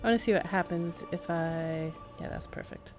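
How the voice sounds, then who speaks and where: A woman's voice: on the low side, normal speed, over music. A woman giving casual live-stream game commentary, rambling as she thinks aloud, with wry asides.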